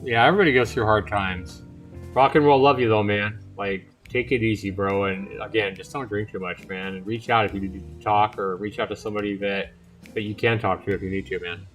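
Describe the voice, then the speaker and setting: A man's voice: on the low side, fairly even intonation, a little fast, a bit bright, low-quality recording. A man livestreaming a visual novel, reading it out casually and dropping informal, chatty asides in between. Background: music.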